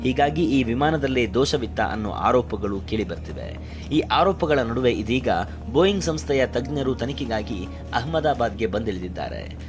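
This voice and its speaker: Man, news voice-over, mid pitch, scripted narration, loud background music. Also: lively intonation, normal speed. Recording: loud music bed behind voice. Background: prominent news background music.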